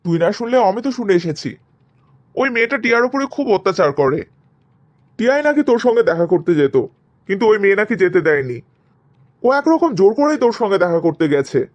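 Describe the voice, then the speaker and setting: A man's voice: sits high, very animated, quick pace, pauses often. A man playing a reasoning, insistent middle-aged father in a cartoon family drama, persuasive and emphatic.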